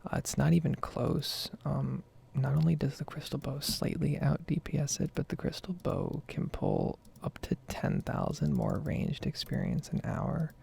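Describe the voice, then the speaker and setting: A soft-spoken, unhurried gaming host giving a hushed, whispery ASMR ramble over gameplay. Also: medium pitch, big pitch swings, a little hiss or hum. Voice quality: whispery, creaky.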